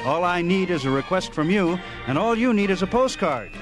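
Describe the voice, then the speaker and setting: A man's voice: high-pitched, lively intonation, brisk. An American man hosting a radio show, delivering a polished scripted sign-off. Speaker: radio host. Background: music.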